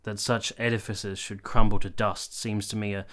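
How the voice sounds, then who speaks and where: A man's voice: fairly low pitch, little pitch movement, moderate pace, a bit bright, close to an everyday voice. An actor playing a cynical, bitter soldier captain in his late twenties in a period radio drama, dry and argumentative. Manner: dry, argumentative, matter-of-fact.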